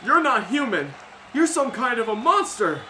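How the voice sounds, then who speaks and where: Man acting a bright-voiced, determined warrior hero. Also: very high voice, sing-song, moderate pace, slight background noise, voice pushed up, heavily acted. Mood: indignant. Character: proud, determined male warrior hero, righteous and indignant. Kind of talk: amateur fantasy reader's theater.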